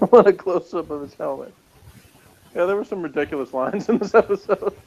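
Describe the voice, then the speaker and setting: A man's voice: high voice, normal speed, a little mellow, lo-fi sound. A man co-reviewing a TV episode in casual chat, sardonic and joking, with lots of fillers. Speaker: podcast co-reviewer. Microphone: remote call, off camera.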